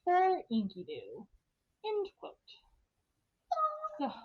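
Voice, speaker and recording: High-voiced woman casually retelling a story. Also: wildly swooping pitch, drawn-out words, slightly rough, lo-fi sound. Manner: irreverent, slangy, frequent swearing. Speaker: podcast host telling a story.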